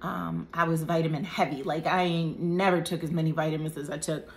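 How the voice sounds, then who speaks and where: A woman in her thirties talks to camera about health in a chatty, joking way. Her voice sits a little low. A faint room hum lies under the recording. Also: level tone, a little fast, creaky. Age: 30s. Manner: chatty, joking asides, faith talk. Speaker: vlogger. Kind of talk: casual health talk to camera.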